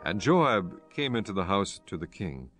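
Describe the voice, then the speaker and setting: Male Bible narrator, lowish pitch, solemn scripted read over faint music. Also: very animated, normal speed, a bit bright.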